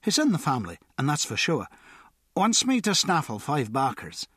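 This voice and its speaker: A jittery, servile male informant from an audiobook, pitched up high and swooping all over as he pleads and fawns, as a man puts him on. The voice carries a husky, breathy edge. The sound is dry and close. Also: wildly swooping pitch, normal speed, fairly bright tone, no echo, heavily acted. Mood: eager. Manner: pleading, servile, higher put-on voice. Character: nervous sweating male street informant, wheedling.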